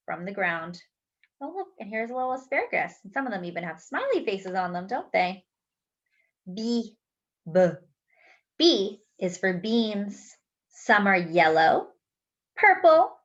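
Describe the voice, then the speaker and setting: A woman reading a picture book aloud to young children, enunciating deliberately and adding playful asides as a story-time host. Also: medium pitch, lo-fi sound.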